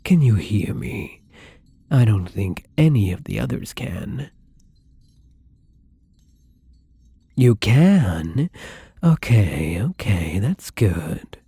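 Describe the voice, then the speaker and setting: Breathy, hesitant young vampire played by a man. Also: fairly low pitch, sing-song, no echo, exaggerated voice. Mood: nervous, polite. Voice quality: breathy, often slipping into whispers. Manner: hesitant, halting, polite, soft-spoken. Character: shy, gentle, newly turned male vampire, apologetic and hungry. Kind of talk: intimate ASMR roleplay acting.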